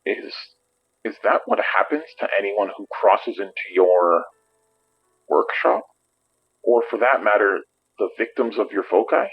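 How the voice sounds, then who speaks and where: A man playing a wary police detective in an audio drama, husky, terse and questioning. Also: on the low side, animated, unhurried, long pauses, muffled recording, heavily acted.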